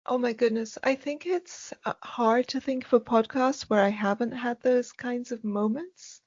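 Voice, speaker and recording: Soft spoken lady guest, lots of ums, dry dull-sounding recording. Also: fairly high pitch, fairly even intonation, dry recording. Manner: halting, many ums and restarts. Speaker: podcast guest. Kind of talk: casual interview conversation.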